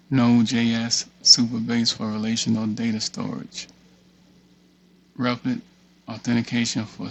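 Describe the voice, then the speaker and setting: A man's voice: mid-range voice, vocal fry, husky. A man hosting a casual tutorial, reading a document aloud and muttering offhand asides to himself as he works. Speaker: tutorial host. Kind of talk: casual screen-share walkthrough, reading a document aloud with off-the-cuff remarks.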